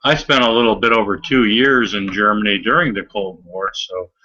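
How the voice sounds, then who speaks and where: An older man in casual chat over a video call, halting, with many fillers and chuckling asides. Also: lowish voice, sharp tone. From United States. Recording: dull lo-fi online call audio.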